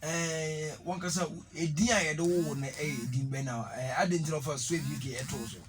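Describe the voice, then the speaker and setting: A man's voice: fairly high pitch, fairly even intonation, normal speed. A Ghanaian man hosting a radio show, hyping his guest and singing out station lines. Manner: hypes and sing-songs, lots of mm-hmm. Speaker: radio DJ host. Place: radio studio. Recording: radio broadcast with loud music bed.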